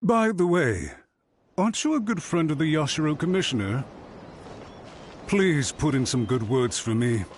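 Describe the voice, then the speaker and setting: A man's voice: on the high side, very animated, normal speed, pauses often. A man voicing an anxious, remorseful official in a video game, speaking formally and deferentially as he pleads. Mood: humble, resolved.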